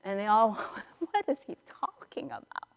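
A middle-aged woman priest giving a conversational storytelling sermon in a large church, acting out dialogue with dramatic pauses. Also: medium pitch, big pitch swings. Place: large church sanctuary, indoors, standing and moving before the altar. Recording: reverberant church hall, picked up in a large space.

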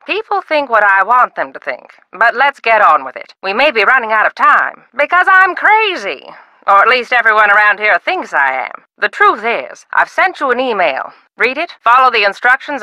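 A secretive, theatrical old film star from a mystery game. She speaks in a grand, teasing way with bright tone and very animated pitch. The sound is dry and close. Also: no room sound, rough recording. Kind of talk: video game voice acting.

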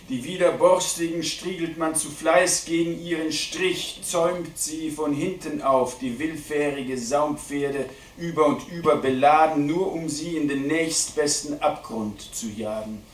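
Langsam deklamierender Mann bei einer Lyriklesung. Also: male voice, on the high side. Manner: declamatory, deliberate, with strong swings between hushed and loud delivery. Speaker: reader of poetry at a literary event. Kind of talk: live dramatic poetry reading. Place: indoor literary event venue at a theatre. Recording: roomy live hall recording, distant mic, faint noise.

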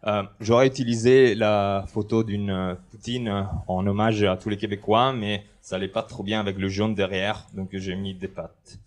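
A man's voice: lowish voice, normal speed, few pauses. Man presenting a talk. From Italy. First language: Italian. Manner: relaxed and joking, with many hesitations. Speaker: conference speaker. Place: large indoor conference hall, on stage.

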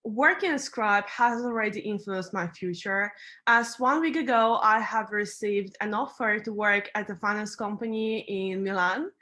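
A woman's voice: mid-range voice, bright, forceful tone. A young woman speaking as an intern on a video call panel, upbeat and fluent.

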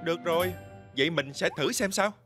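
An actor voicing a shy, earnest little theatre truck who dreams of performing, polite and expressive, in a cartoon dub. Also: mid-range voice, brisk, over music, natural voice. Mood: hesitant.

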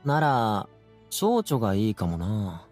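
A good-natured young guy in an anime game, easygoing and boyish. His casual, slightly hesitant lines swing widely in pitch over music. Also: very animated, slow, a little mellow. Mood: hesitant, thoughtful. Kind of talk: Japanese anime game acting.

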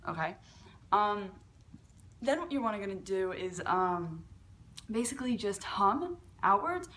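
Young woman doing a casual beatbox how-to on her laptop cam, kinda low voice, lots of ums, faint hiss in the room. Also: expressive, measured pace, a bit bright. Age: young adult. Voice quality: lower voice for a woman. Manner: chatty, with fillers and restarts. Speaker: beatbox tutorial host. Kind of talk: casual video tutorial. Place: large living room at home.

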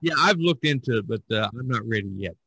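Bright-voiced man chatting on a podcast. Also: mid-range voice, animated, fast, breathy edge. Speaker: podcast host and painter. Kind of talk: casual podcast discussion.

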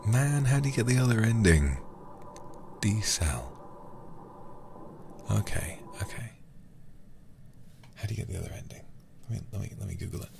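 A man livestreaming a game in a hushed, casual ASMR style, chatting close to the microphone. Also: fairly low pitch, lively intonation, moderate pace, gravelly, pauses often, noisy background. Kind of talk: ASMR game stream chat.

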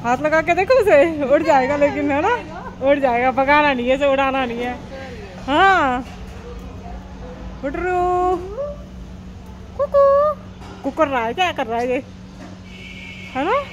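Woman, 30s, high voice, travel vlogger, playful coaxing talk, hand-held phone outdoors at night, noisy recording. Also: animated, unhurried, long pauses, muffled recording. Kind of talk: casual vlog narration and talking to a pigeon. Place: outdoors at night beside a parked tractor on a bus trip. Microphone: phone camera held by hand.

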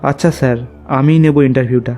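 A man's voice: medium pitch, fairly even intonation, quick pace, warm tone, close to an everyday voice. A man playing a young, friendly, dutiful reporter in casual cartoon story dialogue. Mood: deferential.

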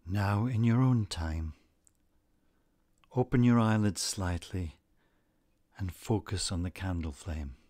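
A man narrating a scripted guided meditation, giving gentle instructions in a calm, deliberate manner. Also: low-pitched, no room sound.

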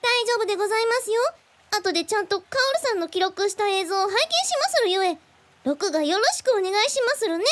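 A woman's voice: very high-pitched, animated, quick pace, fairly bright tone. A woman voicing a precocious genius little girl in a Japanese radio drama, speaking with quaint, very formal, old-fashioned politeness. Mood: cheerful, admiring.

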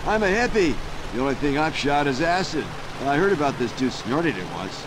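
An actor voicing a paranoid, stoned aging hippie in a video game, rambling in a spaced-out stoner drawl full of hippie slang. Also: male voice, fairly high pitch, very animated, brisk. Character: paranoid, stoned aging hippie man, laid-back conspiracy theorist. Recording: loud vehicle noise behind the voice. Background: vehicle engine and road noise.

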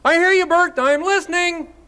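A man's voice: very high voice, normal speed, sharp tone. A man voicing a cheerful, carefree male puppet roommate in a children's TV comedy, breezy and oblivious. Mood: oblivious, upbeat.

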